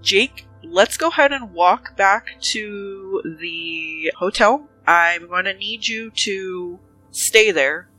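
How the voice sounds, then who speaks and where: Woman playing a forceful, suspicious detective. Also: medium pitch, takes it slowly, few pauses, slightly rough, soft background music, exaggerated voice, low-quality recording. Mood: firm, businesslike. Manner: pressing, insistent, firm. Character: suspicious, no-nonsense detective interrogating a suspect. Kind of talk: tabletop roleplay dialogue.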